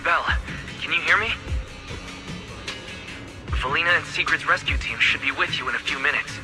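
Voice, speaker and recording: A man's voice: on the high side, normal speed, natural voice. A man acting a young team member in a game, making a businesslike call to check in. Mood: concerned, businesslike. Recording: loud music behind.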